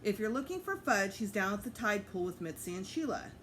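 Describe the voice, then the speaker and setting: A woman's voice: mid-range voice, lively intonation, brisk, a bit bright, slight background noise, natural voice. A woman voicing a friendly, matter-of-fact grandmother in a children's book read-aloud. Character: Mrs. Apfel, friendly matter-of-fact grandmother next door.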